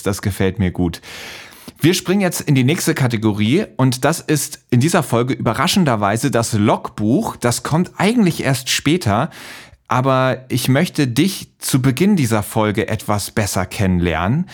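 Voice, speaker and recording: Mid-pitched man hosting an interview. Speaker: podcast host, interviewer. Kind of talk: conversational interviewing.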